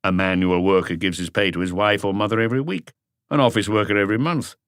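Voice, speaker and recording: Man as a low-voiced probing police inspector. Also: fast talker, a bit bright, no room sound, studio quality. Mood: patient, probing. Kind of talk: audiobook character voice.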